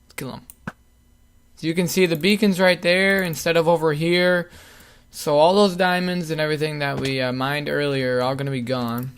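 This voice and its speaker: A young man talks his way through a game session in a relaxed, rambling way, filling pauses with ums and uhs. His voice sits a little high for a man and sounds worn, as if he is losing it. A faint hiss and some clicks sit behind him. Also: gravelly. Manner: rambling, lots of fillers. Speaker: gaming channel host. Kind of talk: casual Let's Play commentary.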